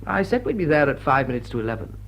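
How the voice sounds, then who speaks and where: An actor playing a smitten English aristocrat in a radio play, with an upper-class accent and an urbane, drily witty, tender manner. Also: male voice, mid-range voice, very animated, fast talker, fairly bright tone. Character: smitten, wry English aristocrat lord wooing a woman. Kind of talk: British radio play acting.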